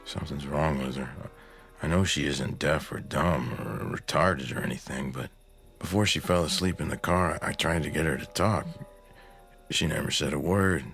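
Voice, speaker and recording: An actor playing a grave, practical small-town husband in a radio drama, speaking tersely and matter-of-factly in a gravelly voice. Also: male voice, a bass voice, moderate pace, fairly bright tone, soft background music, exaggerated voice. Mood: troubled, pondering. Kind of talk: old-time radio drama acting.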